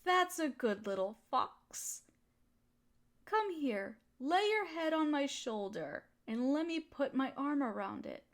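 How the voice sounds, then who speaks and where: A woman playing an affectionate, teasing, gently dominant partner who soothes and flirts in an intimate roleplay audio. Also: sits high, big pitch swings, takes it slowly, full character voice. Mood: flirty, teasing.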